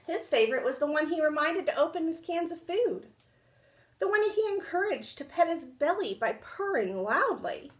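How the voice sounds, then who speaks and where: A young woman reading a children's storybook aloud indoors in an expressive, dramatic storytime style. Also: very high voice, normal speed. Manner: animated, dramatic. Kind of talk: expressive picture-book read-aloud. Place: small indoor room.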